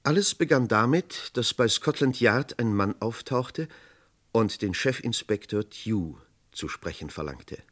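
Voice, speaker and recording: An actor playing the narrator of a 1960s true-crime radio drama, measured and rhetorical. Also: male voice, lowish voice, lively intonation, a bit bright.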